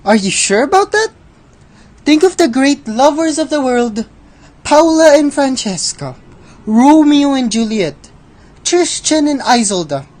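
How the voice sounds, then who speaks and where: Radio drama Death, played by a woman: cynical, taunting, theatrical; highish pitch, unhurried delivery. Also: big pitch swings, darkish tone, full character voice. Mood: mocking. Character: Death personified, female, mocking, world-weary and cynical.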